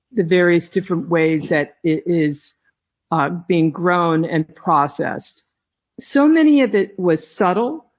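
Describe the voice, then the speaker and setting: A woman giving a reflective interview as a guest, speaking in a halting, thoughtful way with phrases broken by pauses. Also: fairly low pitch. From not originally from Hawaii, long resident there. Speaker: podcast guest, tea grower and company head.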